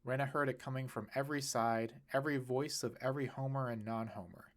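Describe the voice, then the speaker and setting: A man reading fiction aloud as an audiobook narrator, in an expressive scripted style. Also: mid-range voice, fairly quick.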